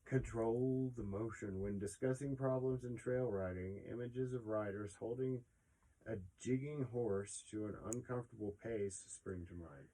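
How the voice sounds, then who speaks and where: A man's voice: mid-range voice, a little slow, dark tone, low-quality recording. A man hosting a podcast, reading an article aloud with casual asides and stumbling a little over words.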